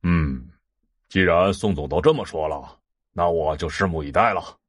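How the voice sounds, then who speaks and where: A man playing sleazy, self-important businessmen in an audio drama, with a husky, oily drawl. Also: sits low, normal speed. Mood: smug, oily. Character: sleazy, self-important male businessmen striking a shady deal. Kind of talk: Chinese audio drama dialogue.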